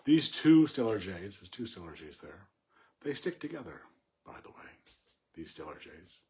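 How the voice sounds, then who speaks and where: A man informally analysing a poem, thinking aloud in a halting, reflective way with pauses between short phrases. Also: fairly low pitch, sing-song, darkish tone. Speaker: poetry commentator.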